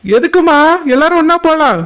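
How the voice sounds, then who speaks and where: A high-pitched grown son from a village cartoon, acted by a man. He is obliging and practical, organizing things for his mother in a Kanyakumari Tamil accent. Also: expressive, a little fast, fairly bright tone. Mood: busy, obliging. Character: dutiful, practical adult son organizing transport. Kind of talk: village cartoon drama acting.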